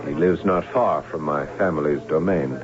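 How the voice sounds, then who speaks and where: An actor playing a courteous, earnest young German nobleman home from the wars, theatrical and measured in an old-time radio drama. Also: a bass voice. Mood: cordial, thoughtful. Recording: muffled, noisy old radio broadcast, music.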